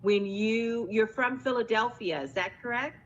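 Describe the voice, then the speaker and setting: Higher-voiced woman on lo-fi audio. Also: normal speed. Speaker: co-host, interviewer. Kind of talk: conversational interviewing. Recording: dull lo-fi, faint noise.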